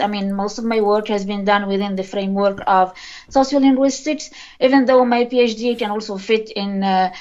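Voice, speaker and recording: A woman, a linguist speaking as a guest in a podcast interview, hesitant and halting as she searches for words. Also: fairly high pitch, darkish tone. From Tunisia. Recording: dull lo-fi recording.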